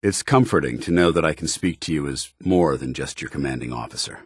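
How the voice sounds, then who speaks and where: Deep-voiced American military commander. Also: male voice, breathy edge, no room sound, studio quality. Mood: sincere. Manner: formal, measured military diction. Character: stern, earnest, duty-bound male military commander. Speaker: voice actor in a video game. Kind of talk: video game companion dialogue.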